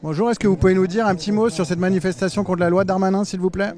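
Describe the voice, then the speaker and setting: A man's voice: sits high, fairly even intonation, racing. A man asking polite street-interview questions at a protest. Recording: loud crowd around the mic.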